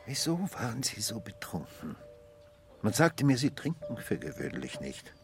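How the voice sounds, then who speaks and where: An actor playing an insistent, condescending police inspector who probes in swings from quiet to loud in a radio drama. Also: male voice, fairly low pitch, wildly swooping pitch, moderate pace, over music. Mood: probing, condescending.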